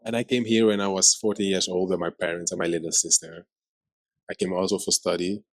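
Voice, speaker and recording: A man's voice: low-pitched, flat delivery, a little fast, no room sound. A man in his thirties from Suriname with a Surinamese Dutch accent, chatting playfully and often restarting his sentences. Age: 30s. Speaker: vlogger co-host. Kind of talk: casual chat.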